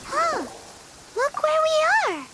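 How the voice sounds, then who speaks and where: Squeaky, tiny fairy girl. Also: very animated, slow, heavy background noise, full character voice. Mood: surprised. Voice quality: squeaky, childlike. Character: tiny floating fairy companion, childlike girl, chatty and skeptical. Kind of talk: video game voice acting. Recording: loud game music behind. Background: loud music.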